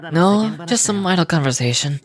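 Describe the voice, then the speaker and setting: An actor playing an easygoing, earnest young farmer in a video game, talking in a casual, conversational way. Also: male voice, high-pitched, expressive, moderate pace, music in the background. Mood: gentle, friendly.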